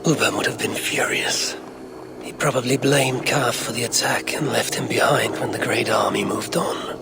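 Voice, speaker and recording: An actor playing a proud, steady Norse warrior leader in a video game, with a gravelly voice and measured, resolute delivery. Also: male voice, mid-range voice, music in the background, lightly acted. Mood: brooding. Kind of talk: dramatic video game acting.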